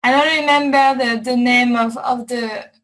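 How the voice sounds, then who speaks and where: A woman hosting a casual show, talking slowly and repeating herself as she searches for words. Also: a little high, fairly even intonation, a bit bright. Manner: slow, repetitive, searching for words. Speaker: host. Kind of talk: casual chat.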